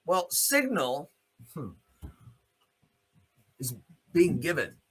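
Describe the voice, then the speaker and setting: A man delivers an opinionated, off-the-cuff commentary as a show host. His voice is forceful and rough-edged, and his pitch moves up and down in a very animated way. He is blunt and sardonic, leaning on pointed rhetorical questions and swearing. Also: drawn-out words, rough recording. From Canada. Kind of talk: opinionated rant, spoken off the cuff.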